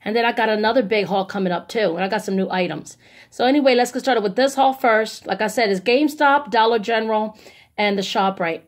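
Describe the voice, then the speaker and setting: A woman's voice: mid-range voice, brisk. A woman chatting casually and informally in a rambling style, as a vlogger showing off her shopping. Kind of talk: casual haul vlog chat.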